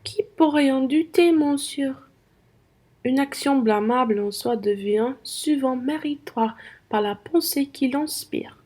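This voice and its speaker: A young woman playing a pious, earnest nun in a French radio play, speaking solemnly and deliberately. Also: high-pitched, mellow. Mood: devout. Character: pious, earnest nun devoted to nursing soldiers.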